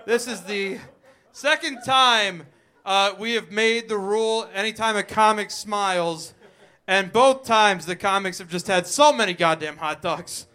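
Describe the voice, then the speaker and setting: A man's voice: high voice, measured pace, bright, forceful tone, smooth. A man hosting a live comedy show, teasing the comics as he brings them on and off. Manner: brash, teasing introductions.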